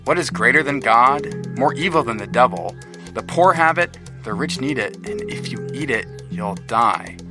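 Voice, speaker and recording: A man reciting a string of riddles in a measured way in an audio drama. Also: mid-range voice, expressive. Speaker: reader of riddles. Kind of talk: scripted audio drama reading. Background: music.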